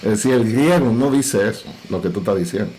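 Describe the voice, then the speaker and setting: A middle-aged man with a Dominican Cibao accent, a pastor speaking earnestly and in a measured way on a parenting panel. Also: lowish voice, darkish tone, slight background noise, rough recording. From Dominican Republic.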